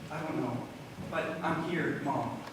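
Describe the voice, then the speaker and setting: A man's voice: a little high, a little slow, natural voice. A young man playing a guarded, dutiful teenage son in an amateur school stage play. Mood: patient, subdued. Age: teen or young adult. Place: school stage, recorded from far off. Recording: echoey stage, far mic, noisy.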